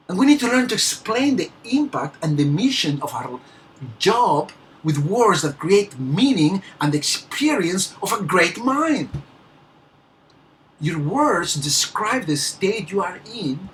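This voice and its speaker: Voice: mid-range voice, big pitch swings, measured pace, a bit bright, distant mic, slight background noise, poor audio. A motivational speaker from Peru with a Peruvian Spanish accent and Spanish as a first language. They give an earnest, emphatic speech, laughing lightly and restarting words now and then.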